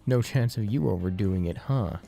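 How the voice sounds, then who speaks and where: A man's voice: on the low side, normal speed, gravelly, warm tone, soft background music, voice pushed up. A man voicing a cocky young male student who teases his friend with mocking, jocular banter. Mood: teasing.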